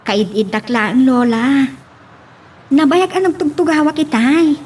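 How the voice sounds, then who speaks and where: A woman acting a young pregnant daughter, gentle and respectful, in a family radio drama, with an Ilocano accent. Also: high-pitched, measured pace. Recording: faint background noise.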